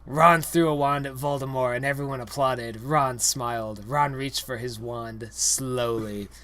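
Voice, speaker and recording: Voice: medium pitch, fairly even intonation, measured pace, a bit bright. A young adult with a mid-range voice reads a silly parody story aloud. The narration is theatrical and exaggerated, played for comedy. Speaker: reader.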